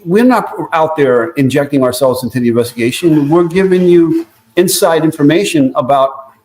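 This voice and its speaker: A man's voice: a little high, a little mellow. A man giving informal expert commentary as a private investigator, explaining points with emphasis. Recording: echoey, roomy microphone sound.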